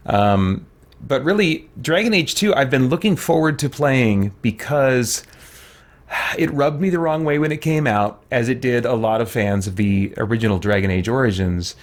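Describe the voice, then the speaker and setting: Man, middle-aged, medium pitch, gaming streamer, casual commentary, rambling, thinking out loud. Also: expressive, moderate pace. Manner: rambling, conversational, thinking aloud. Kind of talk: casual let's play commentary.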